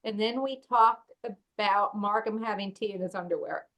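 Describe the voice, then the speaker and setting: A woman's voice: medium pitch, normal speed, fairly bright tone. A woman informally moderating a video call, reading out questions sent in by the audience. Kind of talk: informal hosting of an online Q&A.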